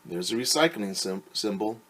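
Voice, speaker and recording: A man's voice: lowish voice, animated, normal speed, sharp tone, slight background noise, low-quality recording. A middle-aged man, a teacher, reading a picture book aloud to children and explaining things simply. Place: small room at home.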